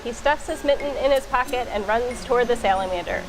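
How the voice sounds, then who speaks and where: A woman reading a children's picture book aloud in an expressive storytelling style. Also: a little high, a little fast, music in the background, low-quality recording.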